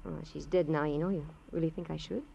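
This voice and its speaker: An actress playing a chatty, grudging housewife in a 1950s radio crime drama, animated, wisecracking and given to mimicry. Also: female voice, low-pitched, fast talker, vocal fry, slight background noise. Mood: scornful, gossipy. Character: brassy, chatty, grudging housewife, gossipy former neighbor. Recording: dull, dry old radio recording.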